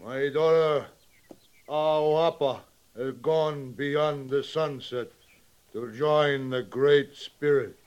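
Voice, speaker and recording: An actor in a 1950s western radio drama playing a dignified, grieving Sioux chief who speaks solemn, halting, simplified English. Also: male voice, a little high, steady tone, a little mellow, clean tone. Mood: sorrowful, dignified. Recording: muffled old radio drama recording.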